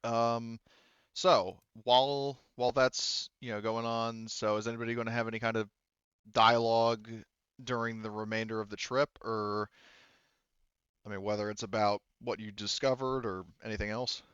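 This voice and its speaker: A man's voice: lowish voice, little pitch movement, normal speed, a bit bright, clear, resonant tone, no room sound, lo-fi sound. A man working as a game master, improvising narration and banter for a tabletop game in a jokey way, feeling out his phrasing as he goes.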